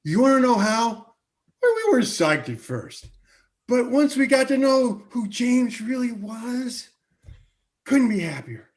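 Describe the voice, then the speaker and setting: A man's voice: very high-pitched, sing-song, slow, heavily acted. A man acting a folksy, jovial older relative of a bride in a comedy table read, chatty and hearty. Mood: jovial, needling.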